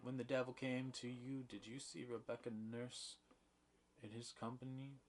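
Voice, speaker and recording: A man putting on a high, pompous old judge. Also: flat delivery, normal speed, natural voice. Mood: insistent. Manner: insistent, officious, raised pitch. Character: stern older male judge, pompous and pressing.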